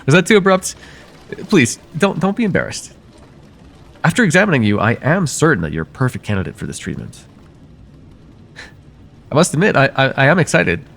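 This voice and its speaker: A man playing a soothing, quietly possessive vampire therapist in an ASMR roleplay, soft-spoken and deliberate, with formal wording and hypnotic pauses. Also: fairly high pitch, very animated, gravelly, full character voice. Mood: apologetic, eager. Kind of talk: ASMR roleplay, slow intimate monologue.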